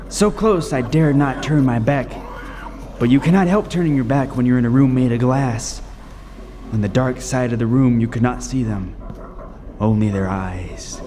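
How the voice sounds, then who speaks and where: A man playing a haunted lighthouse keeper, narrating a horror tale in deliberate, dramatic radio-play style. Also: medium pitch, a little mellow, a little hiss or hum, natural voice. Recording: long reverb, music underneath.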